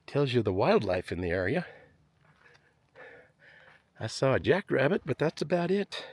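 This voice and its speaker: A man's voice: mid-range voice, very animated, moderate pace, a little mellow, slightly rough, no echo. A man vlogging as he hikes a desert trail, talking to himself in a chatty, joking way and sighing now and then from the climb. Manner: chatty, self-deprecating, talks to himself. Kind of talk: casual narration while hiking. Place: outdoors on a desert canyon trail.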